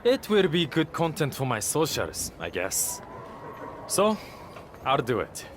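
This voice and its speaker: A man's voice: fairly high pitch, wildly swooping pitch, takes it slowly, background noise, exaggerated voice. A man playing a cocky, snide young wrestler and social media show-off in game cutscene dialogue. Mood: impatient, grudging.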